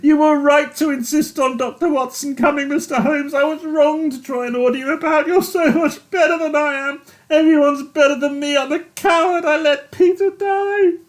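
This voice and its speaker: Sobbing young army captain, way up high, falls apart. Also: sing-song, fairly quick, hardly any pauses. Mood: distraught. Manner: high, wailing, breaking voice. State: crying.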